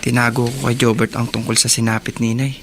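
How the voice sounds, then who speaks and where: A man's voice: medium pitch, level tone, quick pace, very noisy, close to an everyday voice. A man acting as a guilt-ridden, protective older brother in a Tagalog radio drama, speaking hesitantly and heavy-heartedly. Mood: guilty, sorrowful.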